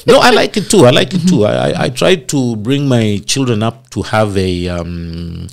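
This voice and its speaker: A man's voice: on the low side, expressive, normal speed, creaky. A middle-aged man, an actor, talking expansively in a casual interview and acting out the voices of people he quotes. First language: not Swahili.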